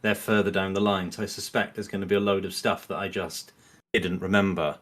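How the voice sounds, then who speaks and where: A middle-aged man with a fairly low voice chats casually as a podcast guest. He speaks earnestly and haltingly, reworking his sentences as he thinks aloud. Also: level tone, fairly bright tone.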